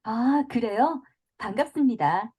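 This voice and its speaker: Mellow, fairly high woman, slow and lively, reads textbook dialogue lines. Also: husky. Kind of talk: scripted dialogue for a listening exercise.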